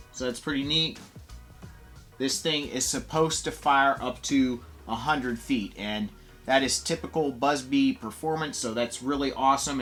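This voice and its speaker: Male reviewer, mid-pitched, chatty and upbeat product walkthrough with music underneath. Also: fairly bright tone. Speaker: toy blaster reviewer. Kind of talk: casual product review to camera.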